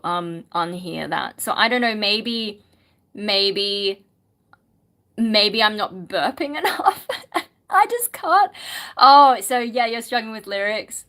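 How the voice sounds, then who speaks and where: Bright-voiced woman chatting. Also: medium pitch. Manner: chatty, musing, lots of ums, reads chat questions aloud. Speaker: singer and streamer answering chat. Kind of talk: casual livestream Q&A chat.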